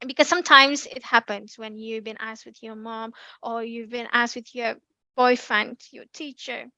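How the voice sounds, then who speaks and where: A young woman with an Indonesian accent, giving a lively online class talk with many ums and restarts. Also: on the high side, normal speed, dry recording. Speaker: community speaking presenter. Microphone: computer mic on a video call.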